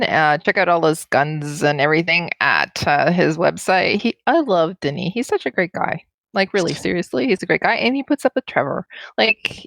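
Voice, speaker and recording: A woman's voice: fairly low pitch, vocal fry, fairly bright tone, dry recording. A woman co-hosting a podcast with teasing, playful casual banter. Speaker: podcast co-host.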